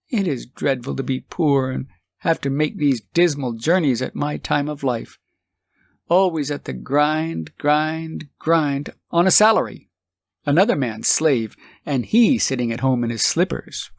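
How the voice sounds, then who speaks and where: A man playing a poor old working husband in an audiobook story, excitable and emphatic. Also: on the high side, unhurried, creaky, dry recording. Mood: weary grumbling.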